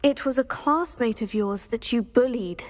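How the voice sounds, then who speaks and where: A woman's voice: on the high side, normal speed, lightly acted. A woman playing a polite, self-assured teenage witch in a video game, composed and courteous. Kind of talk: video game character acting.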